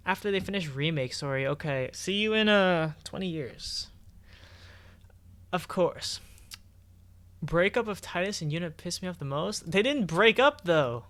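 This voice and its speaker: A man's voice: sits high, lively intonation, unhurried, fairly bright tone. A man chatting casually on a livestream in an informal, slangy way as he reacts to what he reads. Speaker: game streamer. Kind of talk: casual livestream chat reacting to forum posts. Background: background music.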